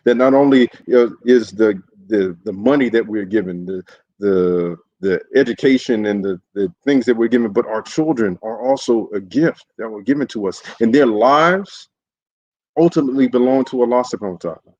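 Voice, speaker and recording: A man, an imam, giving a livestreamed sermon in an earnest, halting way, with many fillers and restarts. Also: mid-range voice, darkish tone, highs cut off. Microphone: solo livestream setup.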